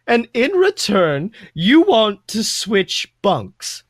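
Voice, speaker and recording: Man doing a high, melodramatic, prickly guy. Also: huge pitch swings, extremely slow, no echo, clean studio sound, exaggerated voice. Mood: suspicious, baffled. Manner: exaggerated, dramatic, sarcastic delivery. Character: flamboyant, prickly, melodramatic man, indignant and suspicious of kindness. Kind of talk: fan fiction read aloud with character voices.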